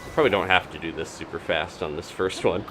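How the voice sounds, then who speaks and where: A man's voice: low voice, fast talker, over music. A man doing live gameplay commentary as a streamer, chatting casually and thinking aloud in a rambling way. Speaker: video game streamer.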